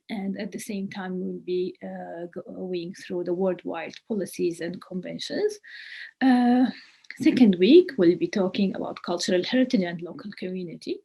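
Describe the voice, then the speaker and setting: Woman, husky, gravelly, halting with fillers, lecturing. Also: fairly low pitch, a little mellow. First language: Arabic. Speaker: course mentor, archaeologist. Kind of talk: introductory online lecture.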